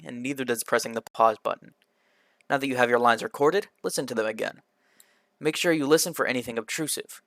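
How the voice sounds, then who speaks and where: A man presenting a scripted software tutorial in an instructional, step-by-step manner, occasionally stumbling over a word. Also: medium pitch, no echo, studio quality. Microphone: computer microphone in a quiet home room, voice-over.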